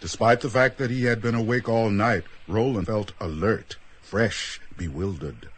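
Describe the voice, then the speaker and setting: A man's voice: lowish voice, measured pace. An actor playing a solemn omniscient storyteller, a man reading scripted narration for a radio drama. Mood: measured.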